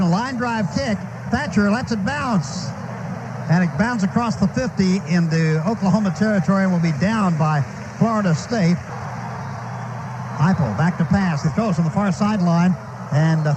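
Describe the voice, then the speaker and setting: Male American radio play-by-play announcer, high-pitched, clipped live football calls, noisy archival broadcast with stadium din. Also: normal speed. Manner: short clipped phrases calling action as it happens.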